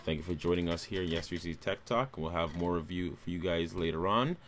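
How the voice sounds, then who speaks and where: A man, casually talking viewers through a phone unboxing in a relaxed, upbeat way. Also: low voice, quick pace, a little hiss or hum. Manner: relaxed, upbeat presenter addressing viewers as guys. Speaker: tech reviewer.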